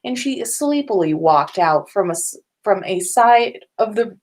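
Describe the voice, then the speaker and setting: A woman's voice: mid-range voice, expressive, a little slow, a little mellow. A woman reading comic fan fiction aloud as a podcast host, now and then stumbling and rereading a phrase.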